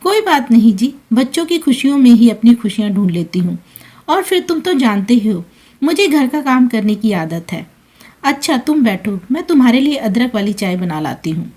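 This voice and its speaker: A woman voicing a selfless, soft-hearted elderly mother-in-law in a family story, with an accommodating, soothing manner. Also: a little high, very animated, normal speed. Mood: gentle, accommodating.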